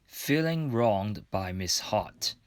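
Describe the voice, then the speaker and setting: A man reads scripted story narration for a radio drama. His voice is on the low side and recorded very dry, close and free of room sound. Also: expressive, takes it slowly, dry recording.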